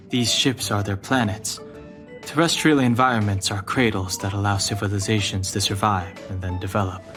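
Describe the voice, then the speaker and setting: A stoic young guardian acted by a man in a space adventure game. His voice is fairly low, restrained and serious, with the air of someone explaining, while music plays clearly behind. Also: natural voice. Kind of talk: game voice acting.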